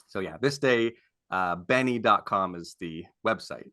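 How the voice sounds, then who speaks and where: A man's voice: deep voice, unhurried, sharp tone, no echo, clean studio sound. A man on a panel explaining his own show in casual talk.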